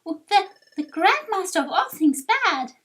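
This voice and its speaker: A woman's voice: very high voice, sing-song, moderate pace, a bit bright, pitched above the performer's own voice, exaggerated voice. A woman voicing a timid, eager young creature in a video game, with a hesitant, stammering manner. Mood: anxious, eager.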